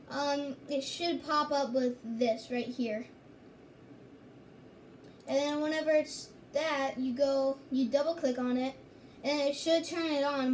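Female tutorial host, high voice, casual and halting step-by-step delivery, noticeably noisy handheld recording. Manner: halting, repeats and corrects herself. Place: indoors at a home computer. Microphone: handheld phone or camera, filming screen.

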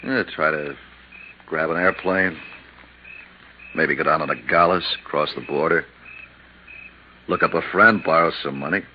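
An actor playing a brisk, businesslike insurance man in a 1950s radio drama, laconic and clipped. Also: very deep voice. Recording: muffled, lightly noisy 1950s radio recording.

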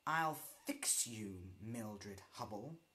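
A man's voice: mid-range voice, sing-song, measured pace. A man voicing a spoiled, sly schoolgirl witch with a whiny, scheming way of talking in a storybook reading.

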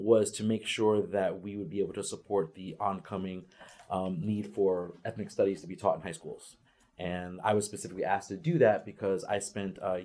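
A man in his forties speaks on a seated panel in a lecture room, his voice sitting a little low. He talks earnestly, stopping and restarting his sentences as he thinks, picked up by a camera mic from across the table. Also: a little mellow, breathy edge. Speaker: ethnic studies teacher coach. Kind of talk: panel talk to students. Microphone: far camera.

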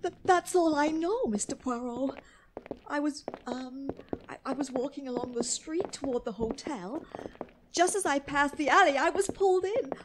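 A woman's voice: sits high, moderate pace, over music, close to an everyday voice, poor audio. An actress playing a fragile, shaken young woman, faltering and melodramatic, in a 1940s radio drama. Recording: vintage radio broadcast, noise and clicks.

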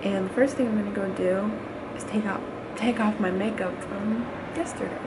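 A woman, chatting casually and haltingly to a camera in an offhand vlog style. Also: mid-range voice, steady tone, heavy background noise. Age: 20s. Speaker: vlogger. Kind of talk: casual beach-routine vlog chat. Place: small bedroom, indoors. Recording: loud music behind the voice. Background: loud music.

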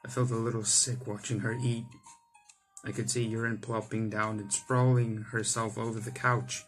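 A man's voice: on the low side, little pitch movement, moderate pace. A young man hosting a gaming video, reading a visual novel aloud with casual commentary and stumbling over the odd line. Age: young adult. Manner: stumbles over text and rereads lines. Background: game music.

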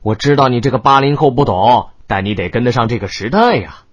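A man's voice: mid-range voice, lively intonation, fairly quick, husky, lo-fi sound. An actor playing a cocky, mocking young man, a brash older brother in a romance audiobook, with a drawling, needling delivery. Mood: smug teasing. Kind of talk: audiobook character dialogue.

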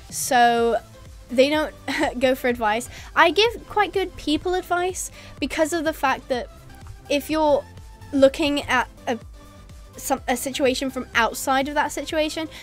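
A young woman from England talks casually to her camera, laughing often and filling pauses with ums. Her voice sits high, with faint music playing softly behind her. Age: young adult. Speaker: YouTuber. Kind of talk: casual vlog reading viewer comments. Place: indoors in front of a green screen. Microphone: facing camera at close range.

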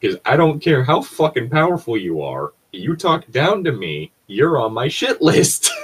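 A man's voice: medium pitch, wildly swooping pitch, a little slow, slightly rough. A man chatting casually and bluntly, swearing freely and arguing his points. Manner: profane, argumentative. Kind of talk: casual opinionated chat.

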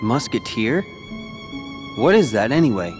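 A cool teenage game hero, guarded and brave. He talks slowly in a mid-range voice, dry and understated, with music clearly behind him. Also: mid-range voice, sing-song. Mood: puzzled, reflective. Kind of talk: scripted video game cutscene acting.

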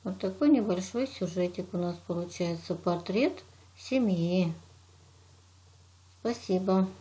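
A woman's voice: fairly low pitch, slow pace, warm tone. A woman teacher from Russia walks a child through a drawing lesson step by step, patiently praising and narrating each action.

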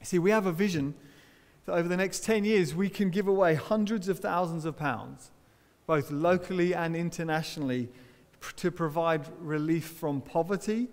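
A man's voice: fairly high pitch, moderate pace. A middle-aged man preaching a sermon from a church hall stage, telling stories in a conversational way with self-deprecating laughs. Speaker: pastor.

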